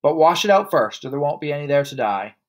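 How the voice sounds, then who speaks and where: A man's voice: fairly high pitch, big pitch swings, fast. A man voicing a scornful middle-aged mother in a storybook, shrieking and scolding in exclamations.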